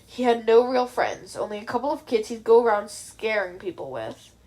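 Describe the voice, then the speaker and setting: A caring young woman in a story, read by a woman, sounds weary and earnest. She speaks thoughtfully, gently persuading, in a voice a little on the high side. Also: lively intonation, normal speed, poor audio. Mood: tired, earnest.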